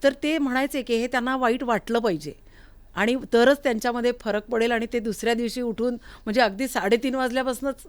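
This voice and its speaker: Higher-pitched woman, close and dry. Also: fairly even intonation, quick pace, no room sound. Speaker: interview host. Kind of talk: conversational interview with anecdotes. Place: recording studio.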